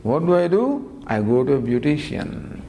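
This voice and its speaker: A man giving a spiritual discourse on scripture, teaching in a lively, gently humorous way with many rhetorical questions, recorded in a lecture hall. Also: on the high side, moderate pace. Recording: long hall reverb, faint background noise.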